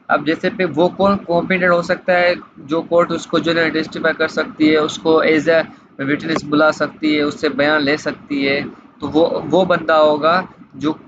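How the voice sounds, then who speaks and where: A man giving an explanatory law lesson, reading statutes aloud and explaining them in a repetitive, question-and-answer way. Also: on the high side. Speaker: law lecturer. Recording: dull lo-fi mic with light background noise.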